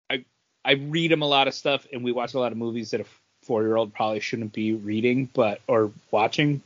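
Man, podcast co-host, mid-pitched voice, halting casual delivery, dull lo-fi sound. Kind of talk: casual chat about comics.

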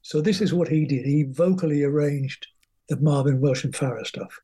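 Older English man, guest storyteller, rambling and chatty, mellow husky tone, slightly high voice. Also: normal speed. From England. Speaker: guest musician. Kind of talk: anecdotal interview storytelling.

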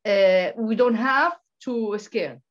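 Woman, from Venezuela, emphatic, halting, lo-fi dull recording. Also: mid-range voice. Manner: halting, many restarts and repetitions, emphatic. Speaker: guest, political researcher. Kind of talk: impassioned interview talk. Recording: dull lo-fi sound.

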